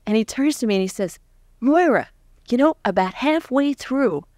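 An American woman in her forties interviewing a guest on stage in a chatty, animated, conversational way. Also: on the high side, moderate pace, no echo, studio quality. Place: on stage before a live audience. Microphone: large microphone on a stand, close.

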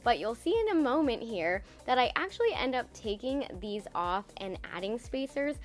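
A woman's voice: fairly high pitch, sing-song, moderate pace, soft background music. A young woman narrating her own renovation video in a voice-over, enthusiastic and explanatory about the project. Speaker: DIY renovation vlogger. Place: voice-over, not recorded in the pictured bathroom.

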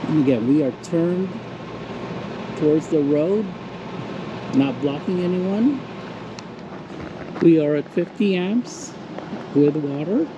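A man's voice: on the high side, lively intonation, takes it slowly, pauses often, muffled recording, background noise. A middle-aged man chatting casually to his action camera as he walks viewers around a campsite. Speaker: vlogger. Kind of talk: casual travel vlog. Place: outdoors at a campground beside a trailer. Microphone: action camera on a short pole at arm's length.

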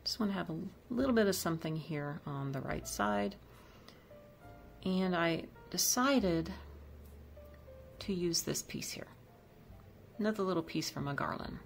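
A woman's voice: fairly low pitch, expressive, unhurried, creaky, long pauses, faint music behind. A woman chatting casually as she decorates her home kitchen, thinking aloud about each piece. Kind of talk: casual voice-over demo.

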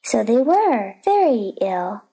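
Sleepy, touchy dormouse in a play, female performer: soft dark tone, unhurried, lilting. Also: fairly high pitch, very animated, exaggerated voice. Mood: sleepy, earnest. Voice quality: hoarse, feeble voice. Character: sleepy, touchy dormouse telling a rambling story. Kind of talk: stage play reading.

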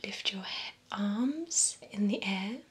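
Woman acting a slow, whispering vampire salon attendant. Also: mid-range voice, animated. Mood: calm, attentive. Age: young adult. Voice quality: soft, breathy whisper. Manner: slow, gentle, deliberate delivery. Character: calm female vampire spray-tan salon attendant. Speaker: ASMR performer. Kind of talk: whispered ASMR roleplay. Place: small indoor room with bookshelves.

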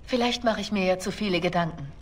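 A woman's voice: lowish voice, fast talker, natural voice. An actress voicing a young female spy in a video game, earnest and questioning, loyal but worried. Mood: uneasy, earnest. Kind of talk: dramatic video game dialogue.

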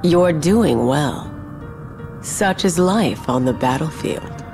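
Evil haughty sorceress for a game, real slow n low, ominous music behind. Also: female voice, animated, gravelly, full character voice. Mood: mocking, imperious. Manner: slow, imperious, theatrical. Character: haughty, menacing sorceress villain, cold and taunting. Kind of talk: video game voice acting.

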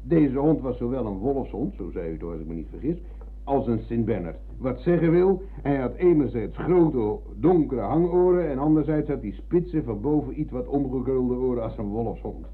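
Casting: older war veteran, ironic, embittered philosopher, medium-pitched, old radio play with narrow phone-like sound. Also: male voice, lively intonation, moderate pace, slightly rough. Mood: pedantic, ironic. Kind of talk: radio drama acting. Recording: tinny, narrow-band old radio recording.

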